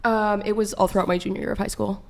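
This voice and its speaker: A woman's voice: medium pitch, little pitch movement, quick pace, gravelly. An eighteen-year-old woman from the San Francisco Bay Area, chatting casually as a panel guest. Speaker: panel guest, college freshman. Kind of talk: casual chat.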